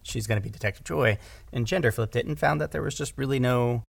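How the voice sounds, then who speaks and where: A man with a medium-pitched, gravelly voice chats informally in a very dry, close sound. He is animated but halting, doubling back on words as he works out his point. It sounds like a relaxed podcast discussion. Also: no echo. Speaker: podcast speaker, audio drama director. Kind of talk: casual conversational discussion.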